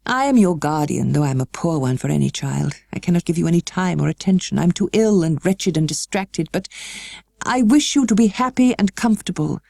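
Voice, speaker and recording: A woman voicing a weary, melancholy gentleman guardian for a storybook, kindly but stiff, with slow, halting, well-spoken delivery. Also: fairly low pitch, expressive, vocal fry, no room sound, studio quality.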